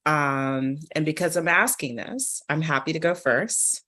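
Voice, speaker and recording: A woman's voice: deep voice, expressive, unhurried, bright, forceful tone. A woman poet talking casually on a literary panel, informal and discursive, with playful asides.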